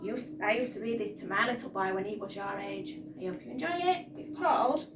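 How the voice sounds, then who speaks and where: A woman reading a story aloud to young children in an animated, expressive storytime style. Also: mid-range voice, a little fast. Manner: animated, addressing young listeners. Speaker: children's storytime reader, teacher. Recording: roomy, distant mic, noisy background.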